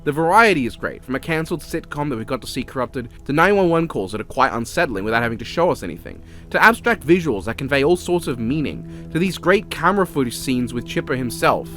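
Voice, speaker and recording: A man's voice: medium pitch, expressive, quick pace, hardly any pauses, a bit bright, soft background music. An Australian man giving a casual, opinionated and sweary video-essay review as a voice-over.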